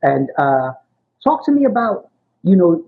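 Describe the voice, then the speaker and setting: A man's voice: sits high, big pitch swings, measured pace, phone-quality sound. A man working as an archivist and interviewer for an oral history project, friendly and affirming, often saying "got it" and "awesome.".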